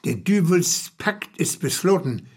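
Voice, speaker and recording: A man's voice: fairly high pitch, sing-song, measured pace, husky, heavily acted. An actor playing a dry, matter-of-fact male commentator in a comic radio play.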